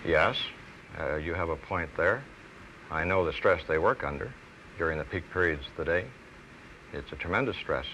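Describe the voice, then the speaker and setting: A middle-aged man speaks in a very deep, forceful voice. He answers as a former pilot in a measured interview, choosing formal words haltingly. There is faint noise from a 1970s archive recording. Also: dull audio. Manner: halting, formal, careful wording.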